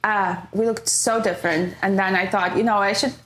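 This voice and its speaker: A woman's voice: mid-range voice, steady tone, moderate pace, a bit bright, reverberant, slight background noise. A young woman from Ukraine co-hosting a podcast as a comic, laughing often and arguing her points plainly with slightly non-native phrasing. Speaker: podcast co-host and stand-up comic. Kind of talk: casual chat.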